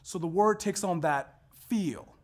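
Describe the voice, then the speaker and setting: A man performing a scripted narration in a readers theater style, with emphatic, deliberate phrasing. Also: high voice. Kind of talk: scripted narration, staged recitation.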